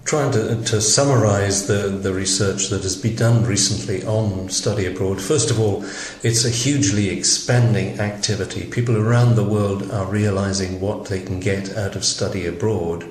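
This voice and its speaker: A man's voice: lowish voice, steady tone, normal speed, reverberant. A man from Wales, a professor, giving thoughtful interview answers with small restarts.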